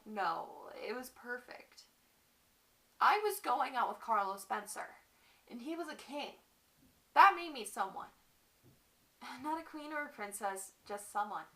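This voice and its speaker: A young woman performing a dramatic monologue as a lovestruck battered wife, halting and confessional, on a distant mic. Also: a little high, bright, forceful tone. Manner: halting, confessional, repeats phrases. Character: lovestruck, battered wife recalling her violent husband.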